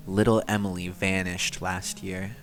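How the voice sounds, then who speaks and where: A man's voice: sits low, level tone, a little slow. A man co-hosting a horror show, reading stories and chatting about them. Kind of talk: story reading and casual commentary. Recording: music bed behind the voice. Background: background music.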